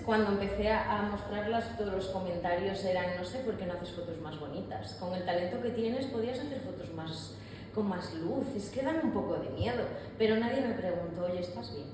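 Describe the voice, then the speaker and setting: Soft-voiced woman in an echoey hall. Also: fairly low pitch. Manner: candid, reflective, with hesitant restarts. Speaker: artist speaker. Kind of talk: public talk on mental health. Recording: echoey hall with long reverb, audible room noise.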